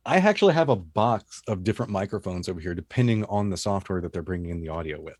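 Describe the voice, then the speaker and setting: A man with a low voice, an esports caster talking through his home setup in an easygoing, detailed way over a video call. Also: expressive, fast talker, darkish tone, no echo, clean studio sound. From Texas. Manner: easygoing, detailed, rambling explanations. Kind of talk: casual interview chat over video call. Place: home broadcast setup room. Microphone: studio dynamic mic on video call.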